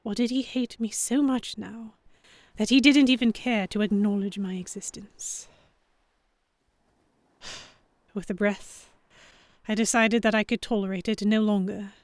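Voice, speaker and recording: A woman playing an earnest, anxious young heroine, narrating a dramatic story in the first person with measured expression. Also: medium pitch, steady tone, no room sound, lightly acted. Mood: introspective. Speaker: narrator and lead character.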